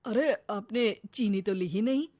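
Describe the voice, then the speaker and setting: A woman's voice: medium pitch, a little slow, a little mellow, natural voice. A woman voicing a defensive, eager middle-aged husband and father in a cartoon moral story.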